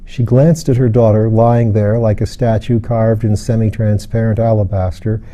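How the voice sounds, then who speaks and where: A man's voice: on the low side, level tone, moderate pace, warm tone, dull audio. A man reading literary fiction aloud as an audiobook narrator. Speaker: audiobook reader.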